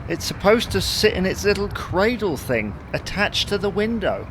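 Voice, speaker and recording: High, lively, grumbling British husband, played by an actor. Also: male voice, normal speed, background noise. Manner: grumbling, placating. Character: grumbling, technophobic, long-suffering husband at the wheel. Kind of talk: domestic comedy audio drama.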